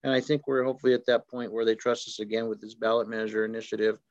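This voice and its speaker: A man chairs a county board meeting in an easygoing, rambling way, talking through next steps. His voice has a middling pitch. The sound is dull and dry, with no room around it. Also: monotone, fast, dry recording.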